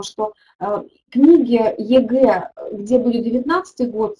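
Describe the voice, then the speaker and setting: A woman's voice: on the high side, darkish tone, a little hiss or hum, rough recording. A middle-aged woman, a teaching methodologist, leading a webinar and answering viewer questions, thinking aloud with frequent hesitations.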